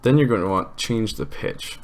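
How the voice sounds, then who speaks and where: Man, very low pitch, tutorial host, casual, informal, step-by-step explaining. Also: expressive, fairly quick.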